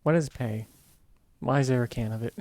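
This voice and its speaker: A man's voice: mid-range voice, fairly quick, a little mellow, no echo. A man from the United States, playing a game with friends and chatting casually in short, dry remarks. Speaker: co-player. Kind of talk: casual gaming chat.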